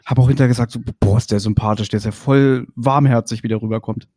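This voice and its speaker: A man's voice: mid-range voice, steady tone, fast, mellow, dry recording, studio quality. A man co-hosting a podcast, asking long, jokey, rambling questions in casual chat. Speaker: podcast co-host.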